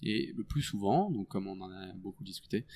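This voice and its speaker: A man in his thirties, a French doctor, explaining his work in a relaxed podcast chat full of hesitations. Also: low voice, moderate pace, gravelly. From France. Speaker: guest doctor. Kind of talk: casual podcast interview.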